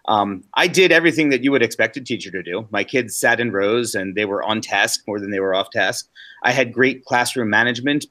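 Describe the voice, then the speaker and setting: A man's voice: fairly low pitch, pushed and bright, dry recording. An American man in his forties, a science teacher, answering interview questions fluently and with self-deprecating reflection. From United States. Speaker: guest teacher.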